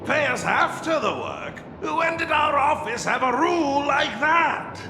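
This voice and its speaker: Gravelly, fussy old assistant. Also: male voice, extremely high pitch, big pitch swings, a little slow, reverberant, big-room sound, noisy background, full character voice. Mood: indignant. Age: older. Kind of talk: video game voice acting and narration.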